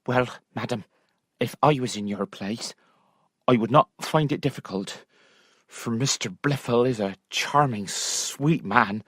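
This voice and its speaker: A man reading an audiobook, voicing a fussy, devoted lady's maid in a higher, fretful voice. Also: unhurried, fairly bright tone, breathy edge, no room sound, clean studio sound.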